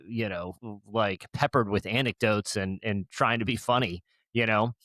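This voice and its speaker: A man's voice: fairly low pitch, fairly even intonation, a little slow, fairly bright tone, no echo. A man co-hosting a podcast who talks in a thoughtful, rambling way and often restarts his sentences. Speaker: podcast co-host, podcaster by profession. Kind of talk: casual chat.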